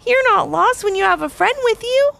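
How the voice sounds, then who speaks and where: A woman voicing a kind young male hero in a storybook reading, gentle and reassuring. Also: very high voice, big pitch swings, fast, creaky, dry recording, pitched above the performer's own voice, full character voice.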